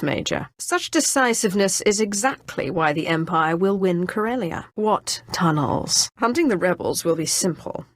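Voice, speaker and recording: A woman's voice: fairly low pitch, no echo, studio quality. A woman playing a ruthless, haughty Sith inquisitor in a video game, commanding and menacing, with a mocking edge. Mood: cold menace, then confident, imperious. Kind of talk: scripted video game character lines.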